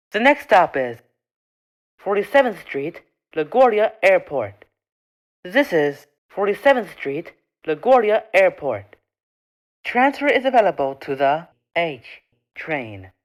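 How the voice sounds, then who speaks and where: A woman reading scripted train stop announcements as a transit announcer, in measured, formulaic, separate phrases. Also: medium pitch.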